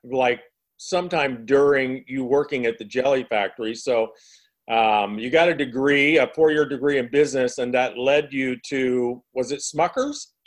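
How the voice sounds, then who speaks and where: A man's voice: mid-range voice, normal speed. A man hosting an interview, asking questions and reflecting on the guest's answers at length. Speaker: interview host.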